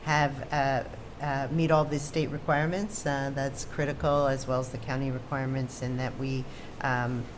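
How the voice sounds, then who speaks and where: Low-pitched American woman, noisy meeting room. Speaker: town supervisor chairing a meeting. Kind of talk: informal public meeting remarks. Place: indoor town board meeting.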